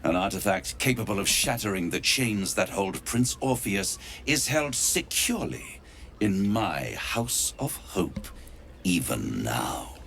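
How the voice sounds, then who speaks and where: A man playing a smug, menacing devil dealmaker in a video game, theatrical and taunting, savouring words with dramatic pauses. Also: low voice, vocal fry, a bit bright, a little hiss or hum, full character voice. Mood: silky, triumphant. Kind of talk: video game character acting.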